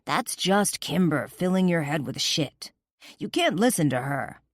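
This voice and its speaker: An enraged rock-star man barks orders and swears, as a woman narrator puts him on. The voice is pushed up and loud, thundering rather than deep. It rises and falls widely, recorded close and dry for an audiobook. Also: big pitch swings, measured pace, no echo, heavily acted. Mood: fierce. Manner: shouting, cursing, commanding. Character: furious possessive rock musician man.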